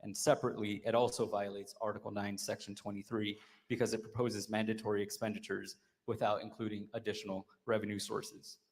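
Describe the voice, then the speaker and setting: Male legislator, low monotone voice, deliberate formal speech, long chamber reverb. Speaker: state legislator. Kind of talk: formal floor speech explaining a vote.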